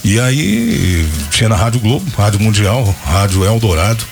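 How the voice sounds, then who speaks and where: A man's voice: fairly low pitch, expressive, moderate pace, creaky. A man in his fifties hosting a radio show, chatting casually and rambling off into stories and asides. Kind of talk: casual on-air chat and storytelling.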